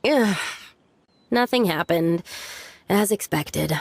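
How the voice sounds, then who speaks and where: A woman playing an earnest, doubtful young woman from a strict family in a video game, hesitant and expressive. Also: mid-range voice, takes it slowly, creaky, dry recording, heavily acted. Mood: reluctant.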